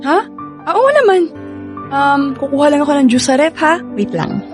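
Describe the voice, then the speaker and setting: A hurt, defiant young Filipino wife in a radio drama. Her high voice swings widely with feeling, bitter and confrontational, over clear background music. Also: lively intonation, moderate pace. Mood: light, polite. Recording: music behind the voice.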